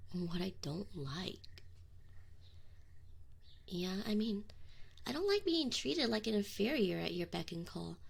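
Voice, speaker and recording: Woman playing a prickly tsundere succubus, swooping lively pitch. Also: vocal fry, full character voice. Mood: reluctant, vulnerable. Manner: defensive, teasing, halting when admitting feelings. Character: tsundere succubus demon, young woman, prickly, needy, affectionate. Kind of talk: scripted ASMR roleplay acting, intimate direct address.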